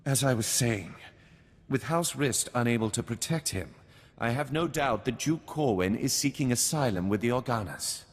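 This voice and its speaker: Proud scheming baron male actor, lively swooping pitch, haughty game delivery, hall reverb. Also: normal speed, lightly acted. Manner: haughty, commanding, indignant. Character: proud, irate, scheming noble baron, a father. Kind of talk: video game dialogue.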